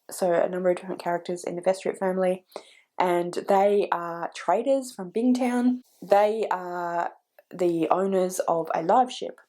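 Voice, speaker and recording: A woman talks casually about books she's recommending, her voice stuffy and slightly croaky from a cold. Her tone is mellow and warm rather than bright. She rambles a bit, doubling back and correcting herself mid-sentence. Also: mid-range voice. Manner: chatty and meandering, with restarts and self-corrections. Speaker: book vlogger. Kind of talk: casual book recommendations to camera.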